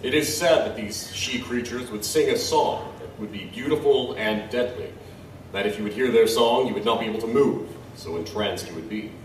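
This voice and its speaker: A man playing a wry, knowledgeable tribesman in an audio drama, measured and musing as he tells lore. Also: lowish voice, natural voice. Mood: grave, explaining. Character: wry, knowledgeable Chinook tribesman, old friend and lore-teller. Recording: roomy, distant, faint background noise.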